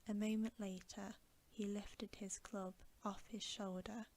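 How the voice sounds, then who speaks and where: A woman reads a bedtime story as ASMR in a soft, breathy whisper, gentle and unhurried. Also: medium pitch, flat delivery.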